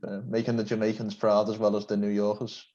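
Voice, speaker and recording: A man's voice: on the low side, monotone, quick pace, mellow, muffled recording. A man hosting a video-call interview, asking questions in a polite, formal and complimentary way. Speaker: interview host.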